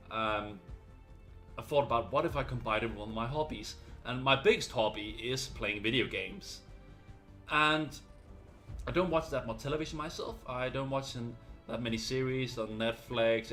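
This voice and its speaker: A man's voice: medium pitch, sharp tone. A man from Denmark, hosting his gaming channel and talking earnestly to camera, rambling a little with fillers. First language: Danish. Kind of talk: casual talk to camera.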